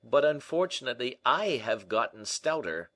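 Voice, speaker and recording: A man's voice: medium pitch, big pitch swings, moderate pace, a bit bright, no room sound, pitched above the performer's own voice. A man voicing a stout, gracious, self-satisfied manservant in an audiobook, with a deferential manner. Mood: gracious, rueful. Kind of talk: audiobook character acting.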